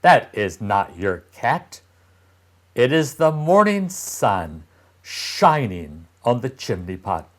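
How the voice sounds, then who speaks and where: A man's voice: medium pitch, sing-song, takes it slowly. A man voicing a cold, scolding stepmother in a storybook read-aloud, commanding and emphatic. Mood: impatient dismissive.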